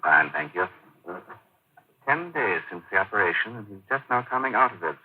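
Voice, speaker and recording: An actor playing a grave, focused surgeon who gives clipped orders in a 1950s radio drama. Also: male voice, fairly low pitch, animated, moderate pace, slight background noise, close to an everyday voice. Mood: calm concern. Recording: muffled, tinny old radio broadcast.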